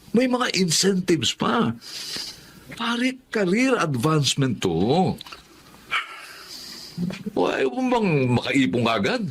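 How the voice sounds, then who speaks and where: Casting: pushy young buddy, radio drama, coaxing and exasperated, very animated, somewhat high voice, slight background noise. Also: male voice. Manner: insistent, coaxing, emphatic. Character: pushy, exasperated young male pal urging his cousin.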